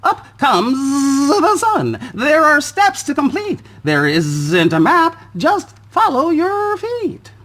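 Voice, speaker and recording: A man voicing encouraging little storybook bees, with lilting, drawn-out words. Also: extremely high pitch, wildly swooping pitch, a bit bright, voice pushed up, exaggerated voice. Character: kindly encouraging little bees cheering someone on. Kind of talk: storybook character voice.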